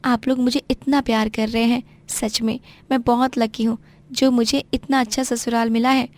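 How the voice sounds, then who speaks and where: A woman's voice: a little high, flat delivery, normal speed, darkish tone, lightly acted. A woman playing an earnest, outspoken young rich daughter who mixes English into her Hindi in a cartoon tale. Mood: grateful, delighted. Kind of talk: animated moral-story dramatisation.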